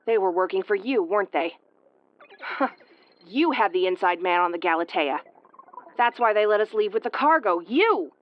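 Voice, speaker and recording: A woman's voice: medium pitch, lively intonation, normal speed, dull audio, natural voice. A woman playing a tough, prickly but secretly caring tsundere starship commander in an intimate audio roleplay, brusque and prone to stammering when flustered. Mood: indignant.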